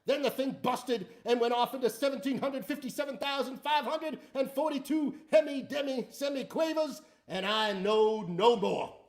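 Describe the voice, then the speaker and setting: A man playing an excitable rustic tale-spinner with a rural Southern American accent, in a theatrical, folksy comic recitation. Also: very high-pitched, normal speed, hardly any pauses, pitched above the performer's own voice. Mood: frenzied exuberance. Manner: folksy, exuberant, building declamation.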